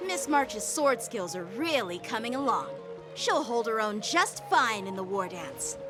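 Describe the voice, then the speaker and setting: A woman's voice: fairly high pitch, very animated, a little slow. A woman voicing a polite, proud teenage boy sword master in an anime game, courteous and self-assured. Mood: encouraging. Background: music.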